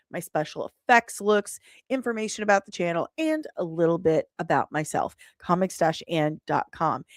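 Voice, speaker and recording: A woman's voice: lowish voice, no echo, clean studio sound. A woman hosting a podcast livestream, talking in a casual, chatty and playful way as she addresses her audience. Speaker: podcast host and indie comic creator. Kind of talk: casual livestream sign-off and promotion.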